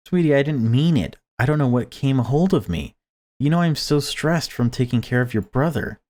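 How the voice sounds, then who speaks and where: A man's voice: medium pitch, lively intonation, normal speed, darkish tone, pitched above the performer's own voice, close to an everyday voice. A man voicing a deluded, self-pitying mother who dotes and snaps, reading visual novel dialogue aloud. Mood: pleading.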